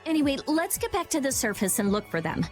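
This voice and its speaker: A woman's voice: high-pitched, very animated, quick pace. A woman playing a determined, blunt teenage girl mage and researcher in a video game. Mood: focused. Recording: music under the voice.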